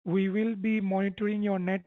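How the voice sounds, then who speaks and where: A man's voice: high voice, level tone, normal speed, no room sound. An impatient, insistent man arguing over a phone call as a support agent, repeating himself. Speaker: tech support phone scammer. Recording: dull phone line, faint music behind.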